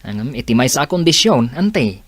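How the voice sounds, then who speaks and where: A man's voice: mid-range voice, big pitch swings, moderate pace, a bit bright, slightly rough, no echo. A man acting a curious, hesitant buddy and go-between with an Ilocano accent in a radio comedy drama. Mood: explaining, hesitant.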